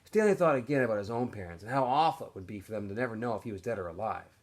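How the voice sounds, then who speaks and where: A man reads a story aloud with expressive, lively intonation. His voice is somewhat husky, and he narrates like an animated storyteller addressing students. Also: fairly low pitch, racing. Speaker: teacher or school staff reading aloud to students. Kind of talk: expressive audiobook-style narration.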